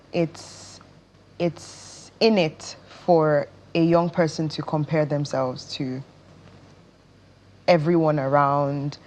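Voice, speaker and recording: Low-pitched Nigerian woman, earnest. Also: darkish tone. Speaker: interview guest, stage performer. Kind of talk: television interview.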